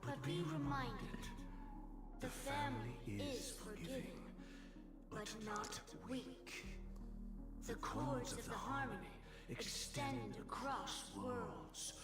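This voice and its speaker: Slow, echoing, ancient spirit ruler. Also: female voice, fairly high pitch, wildly swooping pitch, full character voice. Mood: menacing. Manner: slow, formal, grandiose delivery. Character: ancient disembodied Dream Master, solemn, haughty, menacing collective being. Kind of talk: video game voice acting. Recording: echoing voice under loud music.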